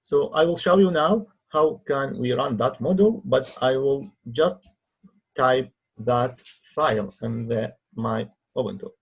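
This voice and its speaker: A man who speaks English as a second language narrates a software tutorial as a researcher, in a halting way with hesitant pauses. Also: medium pitch, vocal fry, husky, lo-fi sound. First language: not English. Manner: halting, non-native phrasing with hesitant pauses. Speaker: researcher demonstrating own software. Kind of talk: tutorial screen-recording narration.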